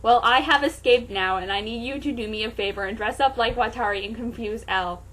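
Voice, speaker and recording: A woman's voice: a little high, a little fast, fairly bright tone, low-quality recording. Woman playing a sinister scheming teenage boy. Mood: conspiratorial.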